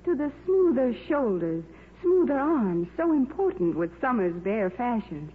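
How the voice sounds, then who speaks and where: A woman's voice: fairly high pitch, sing-song, measured pace, husky. A young woman with an American accent giving a scripted endorsement as a guest starlet on 1950s radio. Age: young adult. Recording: tinny noisy 1950s radio recording.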